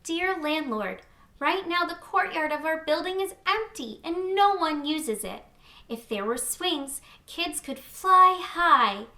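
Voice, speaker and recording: An eager little girl, played by a woman reading a storybook, very high and bright. She is hopeful and excitable, leaning on her words in a pleading sing-song. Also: slow, roomy sound, full character voice. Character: eager, hopeful little girls with big ideas. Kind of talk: children's storybook character reading.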